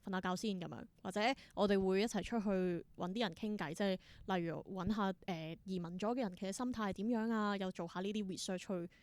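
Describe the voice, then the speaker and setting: A woman's voice: medium pitch, fairly quick, few pauses, a little mellow, no room sound. A young woman with a Hong Kong Cantonese accent talks as a podcast guest in a close, dry recording. She tells her stories casually, slipping into reported dialogue as she goes. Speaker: podcast guest, theatre performer. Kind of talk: casual interview storytelling.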